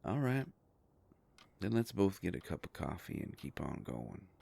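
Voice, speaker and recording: A very deep, gravelly male werewolf private eye, acted by a man for an intimate audio drama. He talks slowly in a folksy, halting way, gruff but protective, with a gently teasing streak. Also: big pitch swings, full character voice. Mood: friendly, reassuring.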